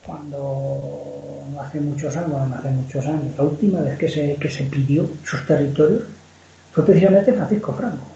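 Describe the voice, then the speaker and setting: A man's voice: on the high side, fairly even intonation, moderate pace, gravelly, warm tone, slight background noise. A man from Spain who writes novels, talking loosely about history in a rambling way with many restarts. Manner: rambling, frequent restarts and repeated words. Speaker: novelist guest. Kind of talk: casual conversation on history. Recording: dull lo-fi sound in an echoey room.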